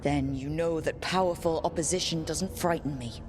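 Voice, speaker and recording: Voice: medium pitch, moderate pace, background noise, lightly acted. An actor playing a determined, blunt team leader in a fantasy video game, direct and plain-spoken. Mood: resolute. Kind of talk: video game cutscene acting.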